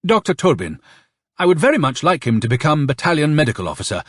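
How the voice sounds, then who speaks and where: Male narrator as army colonel, audiobook, honeyed insincere courtesy, emphatic, raised pitch, very animated. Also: no echo, clean studio sound. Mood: brisk command. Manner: honeyed, emphatic, drawn-out politeness.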